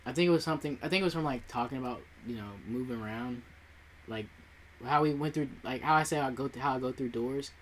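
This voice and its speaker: A man's voice: mid-range voice, fast, a little hiss or hum. A young man co-hosting a podcast in casual chat, talking hesitantly and leaning heavily on filler words. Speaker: podcast co-host.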